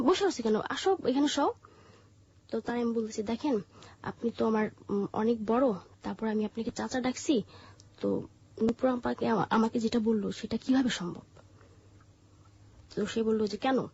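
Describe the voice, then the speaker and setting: A Bangladeshi woman telling her personal story in an interview, haltingly, often repeating and rephrasing her words. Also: on the high side, gravelly, a little mellow, husky, dull audio.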